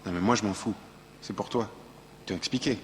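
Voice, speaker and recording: Actor as a low-voiced, gruff veteran staffer, echoey. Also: male voice, moderate pace, soft background music, lightly acted. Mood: detached. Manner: curt, matter-of-fact, bossy. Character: gruff, blunt veteran male staffer briefing a newcomer. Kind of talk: naturalistic comic audio drama. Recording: echoey hall playback, faint noise.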